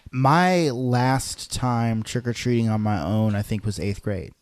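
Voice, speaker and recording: A man's voice: mid-range voice, unhurried, clear, resonant tone, dry recording. A man co-hosting a podcast, telling stories casually and often repeating words as he goes. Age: adult. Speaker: podcast co-host. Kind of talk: casual conversational storytelling.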